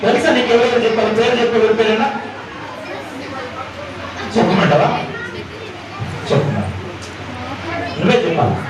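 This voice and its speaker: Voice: mid-range voice, expressive, normal speed, pauses often. A host presenting a live children's puppet show, putting questions to the puppet and the young audience. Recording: echoey hall, distant mic, loud noisy crowd.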